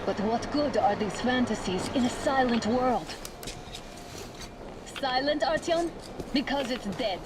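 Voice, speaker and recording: An actress voicing a weary young woman who pleads gently to go home, in a video game cutscene. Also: fairly high pitch, measured pace, pauses often, natural voice. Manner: tired, gentle, wistful. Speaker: game character, Artyom's wife. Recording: loud ambient noise behind.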